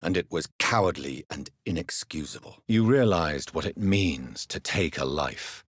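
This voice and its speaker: Brooding gentleman vampire doctor, British accent, husky, expressive swings in pitch, formal and articulate, dramatic video game delivery. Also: no room sound, clean studio sound, exaggerated voice. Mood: stern, accusing. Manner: formal, articulate, measured, theatrical. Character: gentlemanly vampire physician, grave, brooding, courteous, probing.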